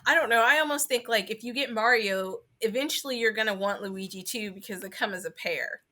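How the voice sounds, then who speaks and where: A woman's voice: a little high, brisk, bright, forceful tone. A woman co-hosting a podcast, chatting informally with a joking manner. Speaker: podcast co-host. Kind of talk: casual chat.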